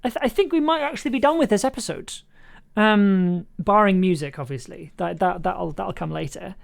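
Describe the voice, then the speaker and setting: A woman's voice: mid-range voice, lively intonation, a little fast, gravelly. A woman chatting casually and playfully on a livestream, thinking aloud as she edits audio. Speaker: streamer and sound designer. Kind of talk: casual livestream commentary while editing audio.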